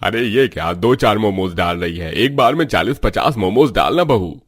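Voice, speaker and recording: A low, husky older father-in-law from an Indian family cartoon, acted by a man. He is gluttonous, blustery and demanding. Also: lively intonation, moderate pace. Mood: greedy, demanding.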